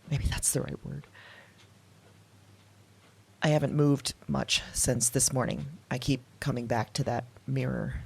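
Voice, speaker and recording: A woman with a deep, husky voice speaks into her audio journal in a drama. She talks hesitantly and confessionally, often slipping into a whisper. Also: darkish tone. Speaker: narrator keeping an audio journal.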